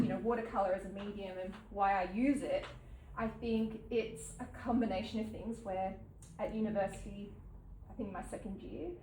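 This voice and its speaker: Australian woman, thirties, halting artist talk full of ums, roomy echo, heard from a distance. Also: mid-range voice. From New South Wales, Australia. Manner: halting, with many ums and soft laughs. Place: gallery event space with an audience. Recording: roomy, from some distance, faint background noise.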